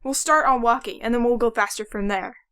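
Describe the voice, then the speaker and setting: A woman's voice: fairly high pitch, fairly quick, sharp tone, clear, resonant tone. A woman playing a brainy, exasperated young boy inventor in a fan audio drama, explaining things in a precise, know-it-all way. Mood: matter-of-fact, proud.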